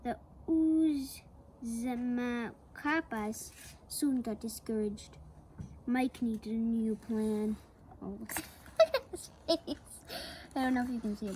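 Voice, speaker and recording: A woman reading a children's picture book aloud, slowly and with playful asides to the young listener. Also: a little high, dark tone, slight background noise. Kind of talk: read-aloud storytelling for kids.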